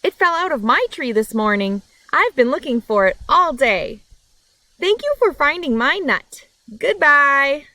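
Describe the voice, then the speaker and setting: A woman voicing a small, possessive storybook tree animal with a brisk, matter-of-fact manner. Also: sits high, big pitch swings, sharp tone, no echo, pitched above the performer's own voice, exaggerated voice, low-quality recording.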